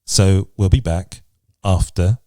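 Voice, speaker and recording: A man's voice: deep voice, slow. A man hosting a podcast in a casual, conversational style. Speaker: podcast host.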